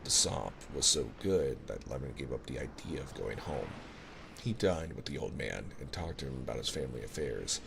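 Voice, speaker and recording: Extremely deep, gravelly male bedtime reader. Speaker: ASMR reader, storyteller. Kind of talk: ASMR bedtime story reading, scripted narration. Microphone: binaural ASMR microphone, close. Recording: clear background noise, ocean sounds. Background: ocean sounds.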